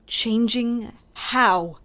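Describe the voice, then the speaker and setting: An anxious, confused young healer acted by a woman in a dark fantasy audiobook. She speaks slowly and haltingly, full of questions. The recording is close and dry. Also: a little high, no room sound, heavily acted.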